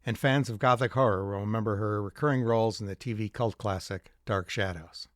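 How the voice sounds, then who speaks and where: A man with a fairly low voice presents scripted commentary as a podcast host. His delivery is measured and articulate, and the sound is close and dry. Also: no room sound.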